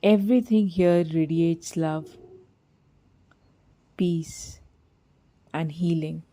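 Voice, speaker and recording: A woman leads a guided meditation in a soft, mellow voice on the lower side. She speaks very slowly and soothingly, reading scripted lines with gentle, measured phrasing. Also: low-quality recording.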